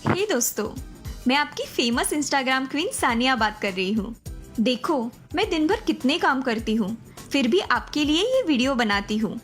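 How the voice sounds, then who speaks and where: Woman acting, young Indian bride, vain social media queen, high voice, animated moral tale, faint music. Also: moderate pace. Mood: boastful.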